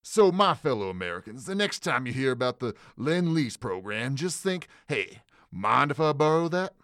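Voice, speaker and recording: A man's voice: mid-range voice, sing-song, moderate pace, a bit bright, no echo. A man playing an earnest middle-aged vice president, a patient sidekick in a parody of a 1940s radio address. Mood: earnest, placating. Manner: earnest, scripted delivery.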